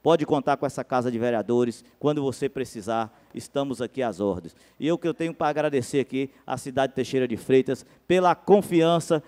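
A man's voice: mid-range voice, brisk, few pauses, a little mellow. A man, giving a fervent council floor speech into a handheld mic at a podium, his voice rising when he stresses a point. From Brazil. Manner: fervent political speech, rising emphasis on key claims. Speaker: city councilman. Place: city council chamber. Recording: long reverb of a council hall.

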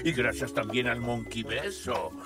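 A man's voice: mid-range voice, measured pace, natural voice. A man voicing a kindly, wise cartoon wizard master in a theatrical manner. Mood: relieved. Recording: loud music behind the voice.

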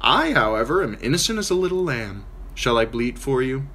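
A man's voice: medium pitch, sing-song, fairly quick, pushed and bright, husky, voice pushed up, exaggerated voice. A man voicing a witty, mocking dwarf lord in an audiobook reading, with an arch, theatrical manner.

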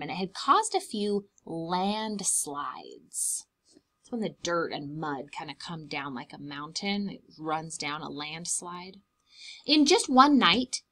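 Young woman, preschool storytime reader, highly varied pitch, bright forceful tone, dramatic emphasis, simple asides for kids. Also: husky. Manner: animated, dramatic emphasis on key words, simple explanations for small children. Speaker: preschool teacher reading aloud. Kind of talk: expressive children's storybook read-aloud with explanatory asides.

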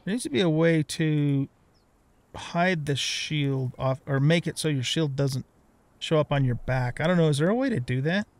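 A man's voice: a little high, moderate pace, no room sound. A middle-aged man giving casual gameplay commentary, rambling and thinking aloud as he hosts a let's play.

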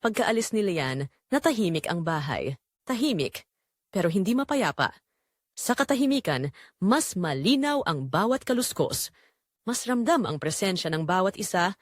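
Woman acting a longing, guilt-torn mother-in-law, swooping pitch. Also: very animated, no echo, studio quality. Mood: tense, yearning. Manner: deliberate, emotive, short dramatic phrases. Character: guilt-torn, longing middle-aged mother-in-law confessing secret desire. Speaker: narrator of an audio drama. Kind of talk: dramatic first-person scripted narration.

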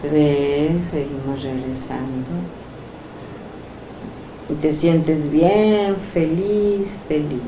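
A middle-aged woman with a Mexican accent, a hypnotherapist who guides a session with soft, slow suggestions and then chats warmly with her client in a small indoor room. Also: deep voice, noisy background. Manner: soft, slow counting and suggestions, then lively coaxing questions.

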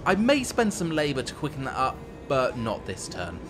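A man's voice: mid-range voice, wildly swooping pitch, normal speed, noisy background. A man giving playful, wry commentary on a strategy game as he plays. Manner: wry, tongue-in-cheek. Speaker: gameplay commentator. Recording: voice-over with background music. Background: music.

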